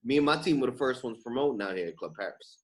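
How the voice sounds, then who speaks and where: Fast-talking male podcast host. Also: medium pitch, slight background noise. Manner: animated street slang, repeats phrases for emphasis. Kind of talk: casual podcast chat.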